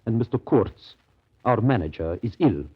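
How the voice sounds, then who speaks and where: An actor playing a grave, evasive colonial trading station manager, measured and ominous in a radio drama. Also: male voice, lowish voice, animated, slightly rough. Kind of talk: 1930s radio drama acting. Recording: dull, lo-fi 1930s radio recording.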